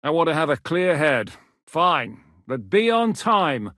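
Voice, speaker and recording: A battle-worn mercenary captain gives orders in a blunt, gruff way and swears freely. His voice sits a little high for a man. It is recorded dry and close, with no room sound at all. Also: big pitch swings, a little slow, pushed and bright, no echo, full character voice. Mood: commanding. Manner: gruff, blunt soldier's talk with frequent swearing. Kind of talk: game voice lines.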